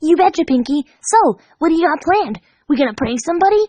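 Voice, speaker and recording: A woman acts out a cocky young female cartoon pony with a high, lively voice. She talks in a slangy, breezy, informal way, like a casual pal in a storybook tale. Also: a little fast, dry recording. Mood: game, casual.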